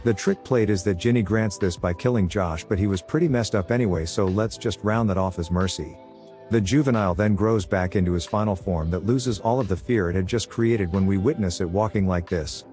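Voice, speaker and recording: A man narrates a film recap in a fairly deep voice, tossing in flippant asides as he goes. The delivery is scripted and sardonic, with soft music underneath. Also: brisk.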